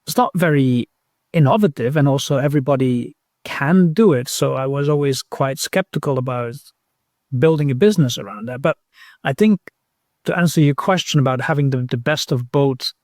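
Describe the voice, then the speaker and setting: A man's voice: mid-range voice, normal speed, darkish tone, dry recording. Man talking thoughtfully in a dry recording. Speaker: podcast guest, recruitment technology professional. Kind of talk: conversational interview answers.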